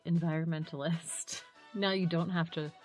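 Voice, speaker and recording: A woman's voice: deep voice, fairly even intonation, moderate pace, darkish tone, soft background music. A woman hosting a podcast in casual, conversational style. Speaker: podcast host. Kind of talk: casual chat.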